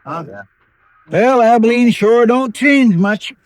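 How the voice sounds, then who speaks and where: An actor playing an uneasy, deferential deputy sidekick with a folksy, hesitant manner in a vintage radio western. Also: male voice, extremely high pitch, animated, very slow, full character voice. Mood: mild, doubtful. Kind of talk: 1950s radio drama acting.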